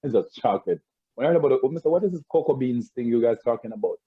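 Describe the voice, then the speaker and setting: Husky Jamaican man on a tinny call. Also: medium pitch, animated, racing, dry recording. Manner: rambling, many restarts and repeated words. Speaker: guest. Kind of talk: casual interview storytelling. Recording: tinny phone-like call audio.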